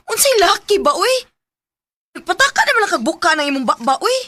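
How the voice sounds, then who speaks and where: A woman's voice: extremely high pitch, very animated, brisk, sharp tone, a little hiss or hum, exaggerated voice. An actress with a Bisaya accent from the Philippines, playing a young student woman caught up in romance in a radio drama. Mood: defensive, annoyed. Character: young student woman torn between boyfriend and suitor.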